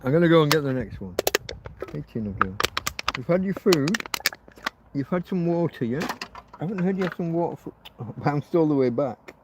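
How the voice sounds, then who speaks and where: A man's voice: a little high, lively intonation, normal speed, pauses often, very noisy. A man in his fifties hosting a rambling, casual livestream outdoors, talking bluntly and swearing freely. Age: 50s. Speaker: livestream host. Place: outdoors in a field.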